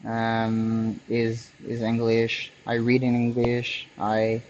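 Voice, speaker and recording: Quebec French man, flat delivery, slightly dull audio. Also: mid-range voice, slow pace, a little hiss or hum. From Canada, French-speaking province. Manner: hesitant, searching for words. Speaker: repair technician, livestream host. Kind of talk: live hands-on tutorial chat.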